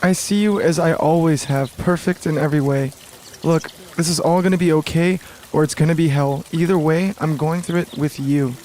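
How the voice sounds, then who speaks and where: An actor as an earnest, higher-voiced boyfriend. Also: male voice, steady tone, moderate pace, darkish tone, a little hiss or hum, lightly acted. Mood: tender, earnest. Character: devoted, earnest boyfriend and amateur warfare buff. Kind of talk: radio drama acting.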